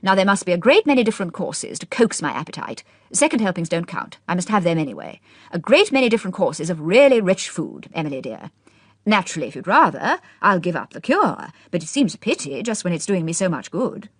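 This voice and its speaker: A woman doing a fussy, petulant gentleman. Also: fairly low pitch, very animated, a little fast, no room sound, studio quality. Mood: cross. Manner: petulant, complaining. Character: fussy middle-aged man obsessed with his diet.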